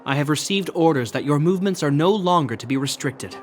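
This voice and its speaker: Man acting young soldier, dutiful, well-mannered, earnest game dialogue, slightly high male voice, clear background music. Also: expressive, a little fast, lightly acted. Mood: courteous, earnest. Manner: courteous, formal, earnest. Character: polite, dutiful young male military officer.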